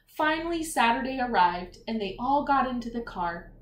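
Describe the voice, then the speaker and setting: A woman's voice: on the high side, animated, normal speed. A woman teacher reading a children's picture book aloud, expressively, with encouraging asides and questions to young listeners. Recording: echoey room with faint noise.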